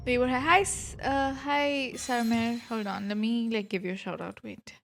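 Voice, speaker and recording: A woman's voice: a little high, moderate pace, creaky. A young adult woman streamer chatting informally as she reacts live to a game. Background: game music.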